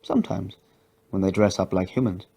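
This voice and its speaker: A man doing a soft, mysterious stranger. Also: low voice, animated, moderate pace, creaky, slightly rough, heavily acted. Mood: gentle. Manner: soft, kind, reassuring. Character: mysterious gentle man, perhaps an angel.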